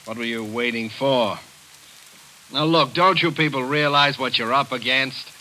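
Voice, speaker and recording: An actor playing a blunt, impatient police lieutenant in a 1940s radio drama, brusque and interrogating. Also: male voice, mid-range voice, a little slow, pushed and bright, low-quality recording. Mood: pressing. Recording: hissy old radio recording.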